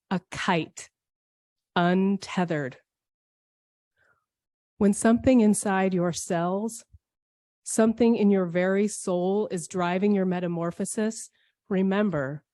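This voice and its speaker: A woman delivering a scripted, reflective church sermon in measured, poetic phrases with deliberate phrasing. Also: medium pitch, warm tone, dry recording, studio quality. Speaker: speaker at a church service.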